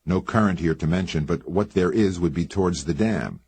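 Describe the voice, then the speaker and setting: Man voicing a slow-spoken rural sheriff, pitched up from a very deep voice, mild and probing, dry close sound. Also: a little mellow, slightly rough, dry recording. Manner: mild, drawling questions. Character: blunt small-town male sheriff.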